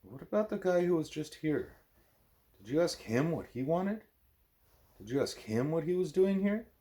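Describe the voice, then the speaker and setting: A man's voice: a little high, big pitch swings, moderate pace, dark tone, voice pushed up. A man voicing an angry, defiant twelve-year-old girl in an audiobook, indignant and emphatic. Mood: challenging.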